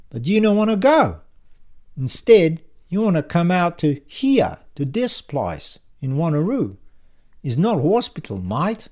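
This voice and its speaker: Blunt, foreign-sounding taxi driver, raised pitch. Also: male voice, darkish tone. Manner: foreign-sounding broken English, insistent. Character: blunt, suspicious male immigrant taxi driver.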